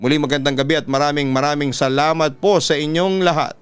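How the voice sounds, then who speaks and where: A Filipino man reading listener letters as a radio storytelling host, with a smooth, clear voice. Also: on the high side, steady tone, fast. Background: instrumental music.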